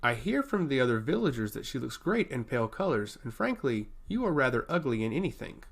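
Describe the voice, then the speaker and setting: A man's voice: mid-range voice, sing-song, brisk. A man voicing a self-assured, teasing prince in a fantasy audiobook, with wry, patronizing banter. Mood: mocking.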